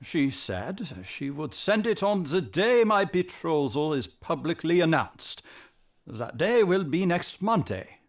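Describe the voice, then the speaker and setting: A proud but anxious king in an audio drama. He speaks very slowly in a somewhat high, highly varied voice. The manner is pompous and formal, with halting hesitations. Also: sing-song, heavily acted. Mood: worried.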